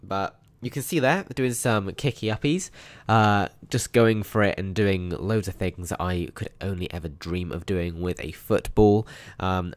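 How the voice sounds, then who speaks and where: Low, croaky man. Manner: chatty and upbeat, frequent ums. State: hoarse from a sore throat. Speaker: mobile game channel host. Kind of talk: casual gameplay walkthrough commentary.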